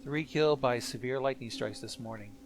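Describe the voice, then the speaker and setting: A man's voice: mid-range voice, a little fast, background noise. A man co-hosting a reaction show, chatting casually and reading on-screen text aloud.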